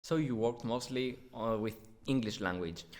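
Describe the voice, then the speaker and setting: A man with a medium-pitched voice acts as a podcast host. He speaks in a conversational way, as in an interview about academic research. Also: moderate pace.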